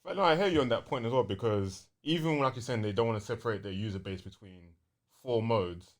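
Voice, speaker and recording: A man's voice: mid-range voice, a little fast. A young man with a London accent chatting casually and enthusiastically on a gaming podcast, using plenty of slang.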